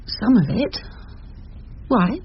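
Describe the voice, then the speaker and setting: Tart English wife, slightly muffled. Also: female voice, on the high side, expressive, slow pace, breathy edge, exaggerated voice. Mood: dry, confiding. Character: dry, formidable barrister's wife. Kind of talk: radio drama acting. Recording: dull radio recording, faint noise.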